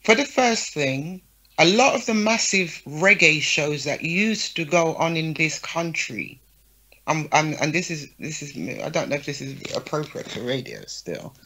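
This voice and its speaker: A woman promoter talks frankly as an interview guest, often tossing in "right?" and "yeah?" to keep her listener with her. Her voice is bright and forceful. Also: sits low, animated, measured pace. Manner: frank, lists names, checks in with "yeah?" and "right?". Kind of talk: casual interview talk.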